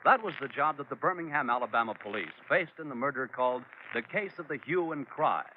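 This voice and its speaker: Male 1940s American radio announcer: lively swings in pitch, scripted promo read, slightly dull vintage sound. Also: brisk. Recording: dull, faintly noisy vintage radio recording.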